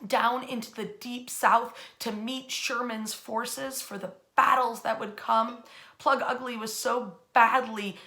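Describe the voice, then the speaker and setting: Bright, expressive American woman telling history stories. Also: a little high. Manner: emphatic, theatrical phrasing with deliberate pauses. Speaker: storyteller, history narrator. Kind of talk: dramatic bedtime-style history storytelling.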